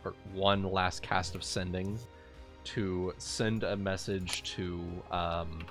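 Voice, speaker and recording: A man chatting casually and excitably as a player in a tabletop game, informal and prone to swearing. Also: low-pitched, slow pace, soft background music. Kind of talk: casual gaming chat.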